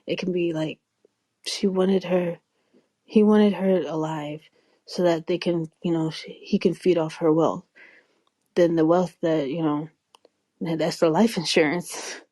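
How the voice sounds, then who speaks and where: A woman's voice: on the low side, steady tone, unhurried, dark tone. A woman chatting casually as a podcast co-host, easygoing and colloquial in the way she talks. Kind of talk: casual chat.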